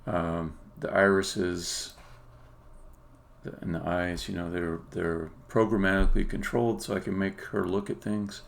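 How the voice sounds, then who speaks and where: A man, a game developer, casually explaining his work on a live stream in a halting, think-aloud way. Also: deep voice, steady tone. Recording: clear background noise.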